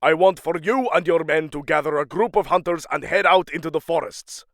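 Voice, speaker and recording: A man's voice: a little high, fairly quick, a bit bright, no room sound, studio quality. An actor playing a proud, aging king of the Norse gods in a fantasy audio drama, grandiose and commanding. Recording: music under the voice.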